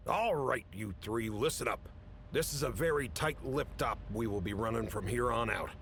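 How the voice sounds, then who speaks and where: A man playing an authoritative, businesslike chief who briefs agents in a measured way in an audio drama. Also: fairly bright tone, lightly acted. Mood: stern. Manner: authoritative, measured, matter-of-fact. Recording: rumbly background noise.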